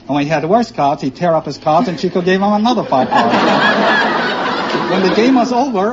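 A man, an articulate, earnest quiz show contestant telling stories on an old broadcast recording. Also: sits high. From New York City, born in Austria. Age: 50s. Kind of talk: conversational storytelling in an interview. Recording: muffled, noisy vintage broadcast recording.

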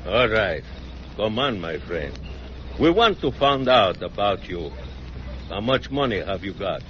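A man's voice: lowish voice, very animated, unhurried, fairly bright tone, slight background noise. An actor playing a suspicious Mexican policeman who interrogates in broken English with a heavy Mexican Spanish accent. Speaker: police official. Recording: dull lo-fi radio drama.

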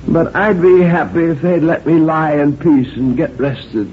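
A man's voice: fairly high pitch, a little slow, voice pushed up, close to an everyday voice. A man voicing a stubborn, cantankerous, dying old woman in a story reading, rambling and querulous. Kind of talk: dramatic short story reading. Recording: muffled, noisy audio.

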